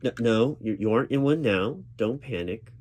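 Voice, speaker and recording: A man playing a job interviewer in a spoken ASMR roleplay, talking in a reassuring, halting way with repeated words. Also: mid-range voice. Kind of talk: ASMR roleplay, spoken aloud rather than whispered. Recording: steady keyboard typing noise behind voice. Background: computer keyboard typing.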